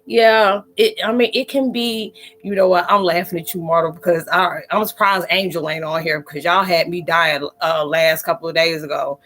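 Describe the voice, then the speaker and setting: A woman's voice: lowish voice, fairly even intonation, moderate pace, few pauses, a bit bright. A woman in her forties hosting a live stream, chatting casually and laughing as she answers viewer comments. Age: 40s. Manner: chatty and laughing, self-described psychic.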